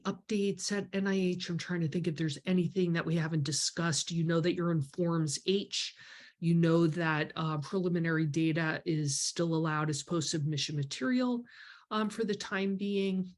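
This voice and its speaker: A woman in her fifties with a stuffy, congested voice, hosting a members video call from her home office, explaining things in a chatty way with lots of ums. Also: on the low side. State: congested from a cold. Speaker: grant writing mentor hosting a members call. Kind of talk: casual webinar talk with many ums. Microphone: webcam on a video call.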